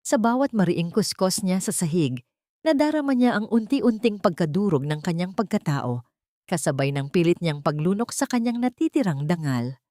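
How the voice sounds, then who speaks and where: A woman's voice: fairly low pitch, sing-song, moderate pace, mellow, no room sound, studio quality. A woman narrating a scripted dramatic story in a solemn manner.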